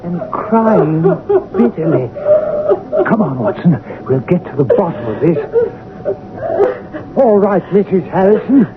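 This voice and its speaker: Bossy suspicious manor master, high voice, tinny radio drama with slight hiss. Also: male voice, big pitch swings. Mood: tense. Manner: brisk, commanding. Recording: band-limited old radio broadcast with hiss.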